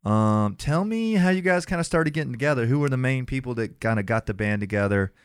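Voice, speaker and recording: A man's voice: medium pitch, lively intonation, a little fast, clean tone, no echo. A man with a Southern US accent hosting a casual podcast interview with a band, loose and joking, in a small studio with several mics. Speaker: podcast host.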